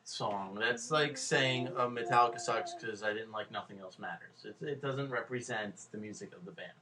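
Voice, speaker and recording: A man co-hosting a podcast in casual banter, joking and doing mock infomercial pitches. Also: moderate pace, crowd noise. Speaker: podcast co-host. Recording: distant mic with faint noise.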